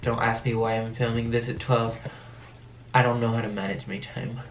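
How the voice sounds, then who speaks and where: A man's voice: medium pitch, little pitch movement, moderate pace, roomy sound, slight background noise, low-quality recording. A young man with a French accent chatting casually to camera as a video blogger, his voice affected by allergies. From France. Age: young adult.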